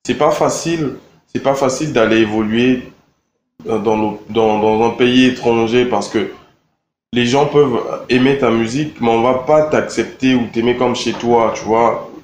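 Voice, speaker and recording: A young man from Congo answering interview questions in a relaxed, halting way, with many fillers and repeated words. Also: medium pitch, a little hiss or hum. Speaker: rapper interviewed. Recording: echoey room with faint ambience.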